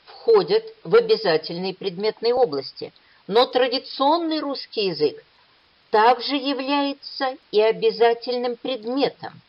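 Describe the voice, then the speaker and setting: A woman delivers an academic conference lecture in a measured, explanatory way. Her intonation moves up and down a lot as she stresses key points. The recording sounds dull and lo-fi. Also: mid-range voice.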